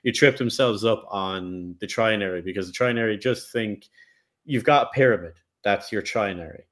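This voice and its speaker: A man with a lowish voice co-hosts a relaxed podcast chat about game lore. He lays out his points patiently and methodically, giving examples as he goes. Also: normal speed. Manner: explanatory, walks through ideas step by step. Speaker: podcast co-host. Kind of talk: casual discussion of game lore.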